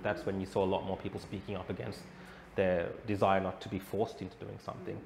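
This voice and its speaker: A man's voice: low-pitched, little pitch movement, fast talker, creaky, darkish tone. Man, Australian accent, conversational interview guest, roomy echo, noisy background. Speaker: interview guest, protest reporter.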